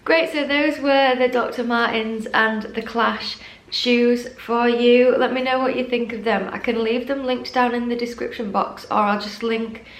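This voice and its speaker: A young woman with a croaky morning voice, chatting enthusiastically through an unboxing vlog in her living room. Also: on the high side, steady tone, a little slow, echoey room. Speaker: fashion vlogger.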